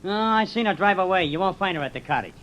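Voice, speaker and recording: A man's voice: sits high, expressive, fast talker, fairly bright tone, dull audio. A man playing a cocky, evasive young loafer with an American accent in a 1940s radio drama. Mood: defiant. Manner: slangy, defensive, evasive. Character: cocky, shifty young loafer in his twenties. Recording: noisy old radio drama recording.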